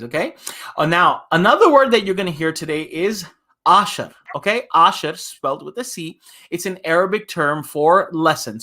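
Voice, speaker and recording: A man's voice: fairly high pitch, bright, forceful tone. A man hosting a live children's story time as an imam, chatting in a playful, folksy, teacherly way. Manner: playful, folksy, teacherly with repeated "okay?" check-ins. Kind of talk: live children's read-along with casual chat.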